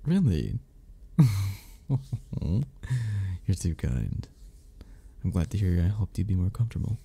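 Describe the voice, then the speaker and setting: A man playing a flirty, gentle cuddle club member in an intimate romantic ASMR roleplay, speaking softly with a breathy, gravelly voice that often drops toward a whisper. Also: deep voice, big pitch swings, full character voice. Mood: warm, reassuring. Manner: soft, slow, teasing, with frequent chuckles.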